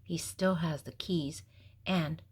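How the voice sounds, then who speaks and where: An older woman with a husky voice reading a picture book aloud to young children, explaining gently and simply with pauses. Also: low voice, fairly even intonation. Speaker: storybook reader and host.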